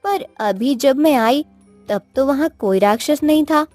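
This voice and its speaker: A woman's voice: sits high, lively intonation, a little slow, darkish tone, dry recording, faint music behind, voice pushed up. A woman voicing an eager, easily surprised young village wife in a cartoon story, full of exclamations and questions. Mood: protesting. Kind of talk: cartoon moral story acting.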